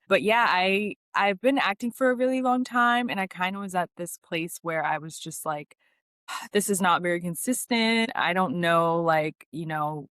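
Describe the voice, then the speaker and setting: A woman's voice: fairly low pitch, a bit bright, no echo, studio quality. A young American woman from New York chatting casually as a podcast guest, informal and conversational with lots of "like". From New York, United States. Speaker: interview guest, actress.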